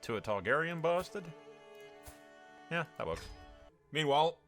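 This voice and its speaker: A man's voice: fairly high pitch, big pitch swings, a little slow, a bit bright, faint music behind. A man hosting a gaming roleplay playthrough, commenting casually and irreverently with frequent swearing.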